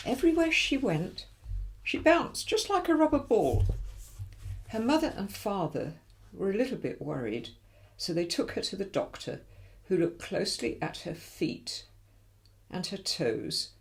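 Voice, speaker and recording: A woman's voice: medium pitch, sing-song, measured pace. A woman reading a children's storybook aloud expressively, with dramatic emphasis for young listeners. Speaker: volunteer story reader.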